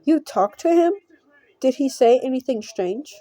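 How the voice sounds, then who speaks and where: A woman voicing an uneasy, protective young female shop worker in a visual novel, halting and questioning. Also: high voice, animated, dark tone. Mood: surprised, wary.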